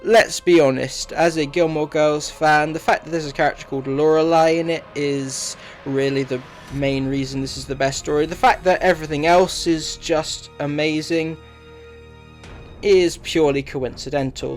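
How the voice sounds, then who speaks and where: A man giving a scripted review narration as a reviewer. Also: on the high side.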